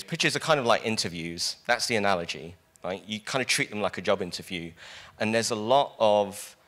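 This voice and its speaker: A man with a loud voice giving a casual conference talk on stage, joking with the audience and speaking into a handheld mic. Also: fairly low pitch.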